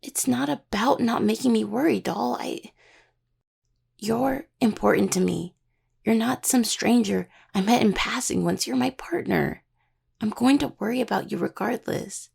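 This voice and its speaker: A woman's voice: a little high, a little slow, gravelly, a little mellow, breathy edge, heavily acted. A woman acting in an audio roleplay as an anxious young student necromancer and devoted partner, stammering and earnest. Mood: worried, hurt.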